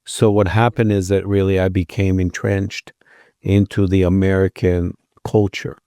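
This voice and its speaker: A man in his sixties, raised in Israel and then the American Midwest, answering interview questions in a measured, polite, modest way. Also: deep voice, flat delivery, warm tone, clean tone, dry recording, studio quality. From Israel, raised in Minneapolis from age twelve. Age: 60s. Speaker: architect guest. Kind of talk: interview conversation.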